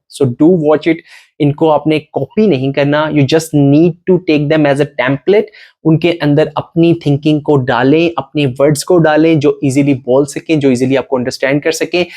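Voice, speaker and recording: Man, exam coach who switches between fast Hindi-Urdu and slow English, keeps checking in with the viewer. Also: on the high side, a little mellow. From Pakistan. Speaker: IELTS coach. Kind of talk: tutorial lesson mixing Hindi-Urdu and English.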